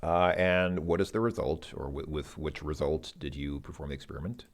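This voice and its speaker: Male, deep voice, informal tutor, hesitant delivery, reasons through translations as he speaks. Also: fairly even intonation. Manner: hesitant, halting, thinking aloud. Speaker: language learner presenting a Russian lesson. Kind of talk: informal lesson, translating and thinking aloud.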